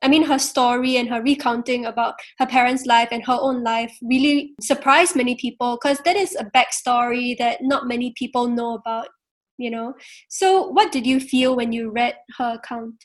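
A woman interviewer with a high voice. She phrases her questions loosely, leaning on "you know" and "right". Her tone stays friendly and conversational. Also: normal speed.

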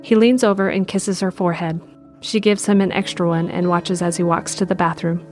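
A woman's voice: on the low side, steady tone, fairly quick. A woman reading scripted narration for an audio drama, describing the action as narrator. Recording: faint music under the voice.

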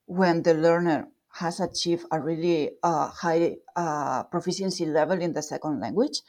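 A woman with a Spanish accent explains her research in a fairly low voice. She talks through ideas thoughtfully, stopping to rephrase and filling pauses as she goes. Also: darkish tone. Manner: thoughtful, with fillers and self-corrections. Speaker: guest researcher. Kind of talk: explanatory interview talk.

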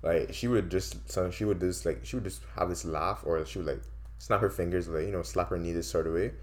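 A woman co-hosting a podcast, speaking quickly and sometimes mumbling in casual chat. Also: very deep voice. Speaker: podcast co-host.

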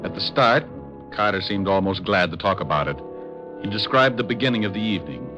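An actor playing a suave, quick-witted private detective, with dry teasing delivery in 1950s radio noir style. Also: male voice, sits low, expressive, music in the background. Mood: dry, narrating. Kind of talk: 1950s radio drama, noir narration and banter. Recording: old radio broadcast recording.

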